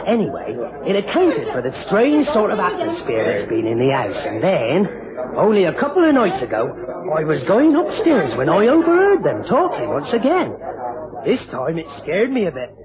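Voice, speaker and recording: A muffled radio drama recording with loud pub chatter behind. A man plays a servile, nosy lodger with a high voice, rambling on in an ingratiating, folksy way. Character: servile, nosy male lodger, gossiping and insinuating. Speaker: actor in a radio mystery.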